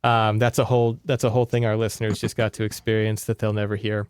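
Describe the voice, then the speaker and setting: A man's voice: lowish voice, little pitch movement, fast talker, smooth, dry recording, clean studio sound. A man with an American accent chatting casually and wryly as he recaps a book as a podcast co-host. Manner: wry, informal, sarcastic.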